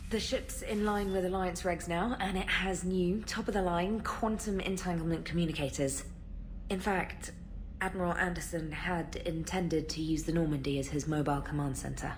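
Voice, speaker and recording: An actress playing a nervous, eager young military communications specialist in a video game, chatty and formal, a little flustered. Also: female voice, fairly low pitch, steady tone, moderate pace, slight background noise, close to an everyday voice, poor audio. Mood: earnest. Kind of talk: video game cutscene dialogue.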